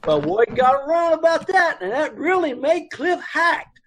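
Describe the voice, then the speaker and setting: A man voicing an anxious middle-aged dance hall owner who rambles and trips over his grammar, his voice pitched high and swinging widely. He sounds uneasy, as in an old-time radio play. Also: big pitch swings, unhurried, a bit bright, heavily acted.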